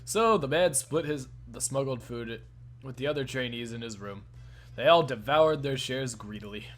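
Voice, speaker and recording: A man reading a game's story aloud at a middle pitch, then breaking off to laugh and swear casually with his co-hosts. Faint music plays underneath. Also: normal speed, fairly bright tone. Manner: laughs often, casual and profane between lines. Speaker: let's play host and narrator. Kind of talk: reading a visual novel aloud with commentary.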